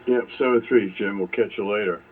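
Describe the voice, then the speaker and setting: Deep male voice, relaxed-form radio operator chat, phone-like shortwave sound. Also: fairly quick. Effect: AM shortwave radio transmission. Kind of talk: casual technical chat over ham radio. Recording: phone-like AM radio with faint noise.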